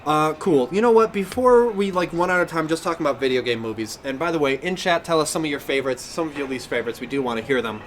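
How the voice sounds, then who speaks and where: A man's voice: fairly high pitch, quick pace, few pauses, a little hiss or hum. A man hosting a podcast in casual gaming chat, talking in a rambling, self-correcting way. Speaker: podcast host.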